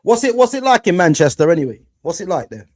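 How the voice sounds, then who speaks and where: A young man from England hosts a live football watch-along, talking loosely to his viewers with plenty of slang and restarts. His voice sits fairly high for a man. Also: big pitch swings, quick pace, darkish tone. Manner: chatty, slangy, talks to chat, restarts often. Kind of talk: casual football chat with viewers.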